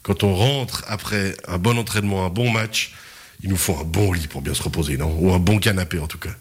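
A man hosting a local radio talk show, chatting casually with guests, laughing often and filling pauses with hesitations. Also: low voice, breathy edge.

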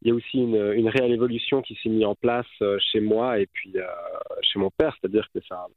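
A man's voice: lowish voice, fairly even intonation, quick pace, no echo. A man in his thirties with a Swiss French accent, a guest filmmaker speaking reflectively with many fillers over a phone line. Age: 30s. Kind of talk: radio interview by phone.

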